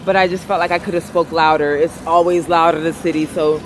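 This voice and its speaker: A woman vlogger with a velvety, raspy voice, speaking softly in a rambling monologue outdoors on a city street. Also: low voice, fairly even intonation, fairly quick, a little hiss or hum. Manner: soft-spoken, rambling, trying to talk louder. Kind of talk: casual monologue.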